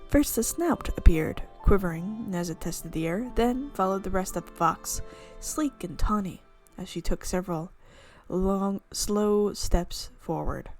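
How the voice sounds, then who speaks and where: A woman streaming a visual novel, reading the narration aloud with expressive, playful reactions. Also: lowish voice, dry recording. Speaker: game streamer.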